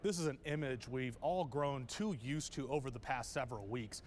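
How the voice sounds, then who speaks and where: A man's voice: mid-range voice, moderate pace, a little mellow. An American man presenting a scripted television news report, recorded with background noise.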